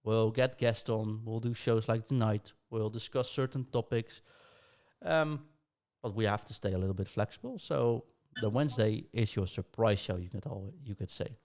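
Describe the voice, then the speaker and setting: A man's voice: lowish voice, moderate pace, a little mellow. A man with a Dutch accent hosting a podcast, laying out show plans in a rambling, casual way with many fillers. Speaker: podcast host.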